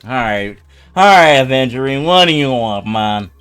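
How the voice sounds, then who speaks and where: A man's voice: medium pitch, lively intonation, moderate pace, a bit bright, clean tone, faint music behind. A man streaming a video game, chatting casually in an informal, rambling way as he comments.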